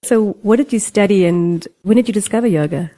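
Female interviewer, mellow tone, relaxed and friendly. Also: mid-range voice, brisk, poor audio. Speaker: podcast host. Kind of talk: interview hosting.

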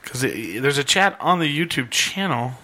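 Host role: bright, tense male voice, going hoarse, rambling casual talk. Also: medium pitch, very animated, normal speed. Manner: rambling, loose, swears casually. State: losing his voice. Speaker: podcast host. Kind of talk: casual live-stream chat.

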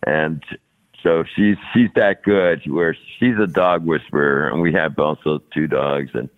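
A man's voice: extremely low pitch, unhurried. A man in his sixties, a podcast guest talking casually and at length, often repeating words and laughing between thoughts. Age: 60s. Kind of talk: casual interview conversation. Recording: tinny phone-like line.

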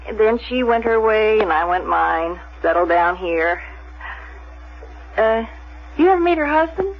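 A woman's voice: medium pitch, animated, a little slow. An actress playing a plain, middle-aged small-town woman, hesitant and wistful as she reminisces in a 1970s radio drama. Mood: hesitant, probing. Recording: dull vintage 1970s radio recording.

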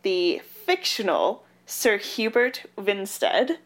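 A woman's voice: medium pitch, very animated, slow pace. Blunt, wry young woman co-host. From Canada. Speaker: podcast co-host. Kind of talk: casual podcast chat.

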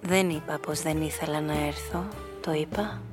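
A woman's voice: low-pitched, fairly even intonation, music in the background, lightly acted. An actress playing a cool, calculating nightclub hostess, telling her story and speaking her lines in a composed, knowing radio drama style. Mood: coyly flirtatious. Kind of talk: first-person noir radio play narration and dialogue.

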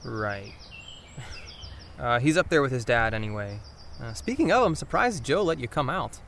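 A man's voice: mid-range voice, animated, normal speed, a bit bright, a little hiss or hum. A man playing a sceptical, sardonic teenage boy in a naturalistic audio drama, cautious and questioning. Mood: wry.

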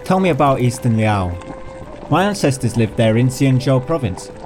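A man voicing a calm, curious young nobleman who speaks in brisk, level sentences. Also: mid-range voice, music in the background, voice pushed up, lightly acted.

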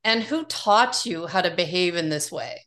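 A woman's voice: fairly low pitch, lively intonation, moderate pace, a bit bright. A woman hosting a podcast, reading a polished introduction and then asking her guest questions with enthusiasm. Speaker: podcast host.

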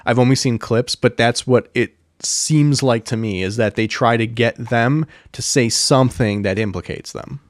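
A young man co-hosting a casual podcast. He rambles with plenty of fillers and frequent laughter. His voice has a mid pitch and is recorded dry and close to the mic. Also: moderate pace, few pauses, no room sound. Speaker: podcast co-host.

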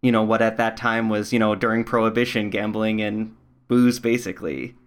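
A man interviewing someone in a thoughtful, measured and tentative conversational way. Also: on the low side, flat delivery.

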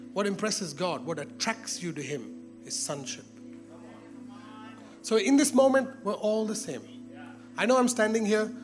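A man preaching a sermon with emphatic repetition, rising to exclamations and dropping to hushed, intense tones. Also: on the high side. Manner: emphatic, repeats phrases, drops to hushed tones.

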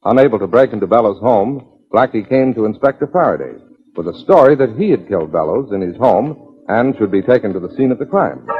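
Animated 1940s radio announcer, a man. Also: mid-range voice. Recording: dull 1940s radio recording.

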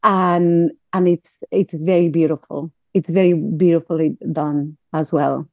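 Catalan woman guest, lowish voice, halting delivery, dry slightly dull sound. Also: fairly even intonation, no room sound. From Catalonia, Spain. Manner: halting, many fillers and restarts. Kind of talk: podcast interview.

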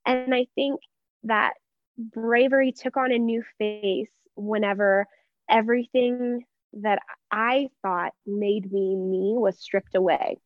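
A woman's voice: medium pitch, fairly even intonation, slow, dry recording. Earnest young American woman, slightly dull audio. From Texas, United States. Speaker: interview guest, church member. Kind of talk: reflective personal conversation.

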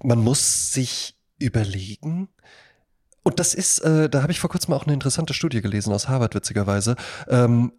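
A man's voice: mid-range voice, animated, normal speed, a little mellow. Rambling man in casual podcast chat. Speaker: podcast co-host.

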